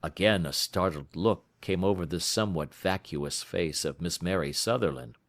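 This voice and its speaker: A man reading an audiobook as a measured, storytelling narrator. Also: deep voice, no echo. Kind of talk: scripted narration.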